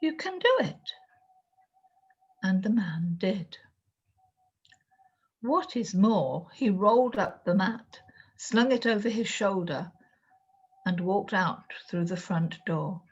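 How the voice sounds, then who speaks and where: A woman reading a children's story aloud in an expressive storytelling style. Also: mid-range voice, slow pace, pauses often, breathy edge, dull audio. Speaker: storyteller, reader.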